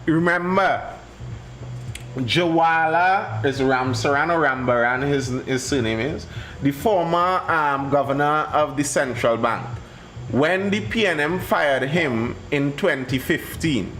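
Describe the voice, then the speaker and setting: Man, live-stream host, very slow, thinking aloud. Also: on the high side, fairly bright tone, faint music behind. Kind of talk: casual live-stream chat.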